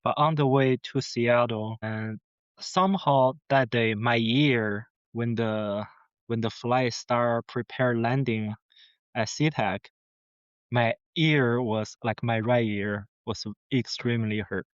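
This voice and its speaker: A young man with a Mandarin Chinese accent recounts his story earnestly, pausing and restarting as he finds his English words. His voice is smooth and clear, recorded close and dry with a slightly dull, lo-fi tone. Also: mid-range voice, steady tone, no room sound. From China. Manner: earnest, halting, frequent restarts. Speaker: podcast guest. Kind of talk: personal storytelling.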